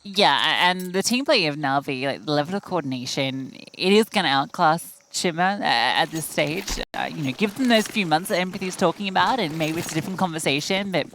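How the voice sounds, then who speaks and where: Woman breaking down esports plays, lowish voice, noisy broadcast. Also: brisk, hardly any pauses. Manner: analytical color commentary, chatty and conversational. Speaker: esports caster. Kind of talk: live esports commentary.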